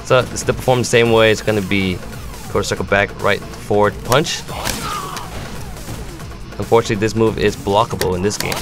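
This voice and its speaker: A man's voice: on the low side, fairly even intonation, fairly quick, pauses often. A man giving an instructional commentary on fighting game moves, explaining them step by step as a competitive gamer. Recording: music playing behind the voice. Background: music.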